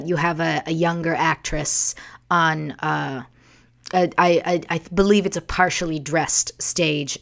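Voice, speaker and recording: Smoky-voiced woman chatting slowly. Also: sits low, vocal fry. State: worn day-after voice, prone to cracking. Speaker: livestream host. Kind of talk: casual rambling livestream chat.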